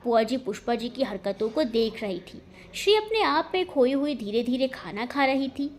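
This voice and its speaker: A woman's voice: on the high side, expressive, normal speed, a little hiss or hum. A woman narrating a scripted family romance story as a dramatic storyteller.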